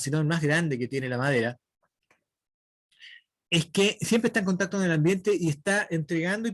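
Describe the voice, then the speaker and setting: A man lectures a woodworking class. His voice sits a little high and sounds dry and close to the mic. He explains each step patiently and keeps checking that students follow. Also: no room sound. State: dry throat. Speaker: woodworking instructor. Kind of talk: online lecture.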